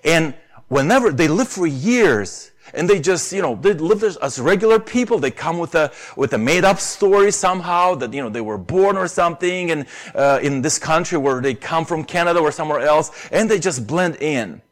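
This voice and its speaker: A man's voice: on the high side, animated, normal speed. A man with a Romanian accent preaching a sermon earnestly, pressing hard on key phrases. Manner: earnest, rises to emphatic stresses on key phrases.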